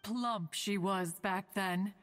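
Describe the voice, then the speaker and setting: A woman's voice: medium pitch, slow pace, heavy background noise, close to an everyday voice. An actress playing an ancient, lofty, motherly immortal spirit in an anime-style game, with stately archaic phrasing. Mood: fond, reminiscing.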